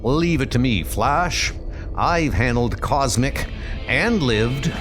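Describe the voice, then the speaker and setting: A man voicing a stern, self-assured superhero in a comic book dub, formal and measured. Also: medium pitch, expressive, music in the background. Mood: serious.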